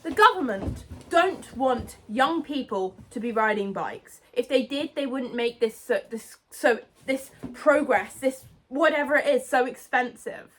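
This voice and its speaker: A woman's voice: sits high, animated, unhurried, hardly any pauses, low-quality recording. A young British woman, about nineteen, ranting indignantly into her phone outdoors by a road. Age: young adult. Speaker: vlogger. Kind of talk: ranting vlog. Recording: phone mic outdoors, cars passing.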